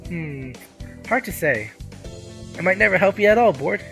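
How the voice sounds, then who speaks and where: A man's voice: high-pitched, expressive, moderate pace, gravelly, voice pushed up. Guy doing a dreamy encouraging bird teacher for a visual novel, music behind. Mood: musing. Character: whimsical, calm male bird math teacher.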